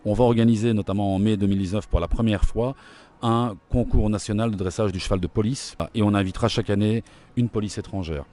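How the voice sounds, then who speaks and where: Brisk deep-voiced Belgian man. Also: steady tone, mellow. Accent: Belgian French. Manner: brisk and informative, with frequent fillers. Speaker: interviewee, equestrian trainer. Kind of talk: explanatory interview talk.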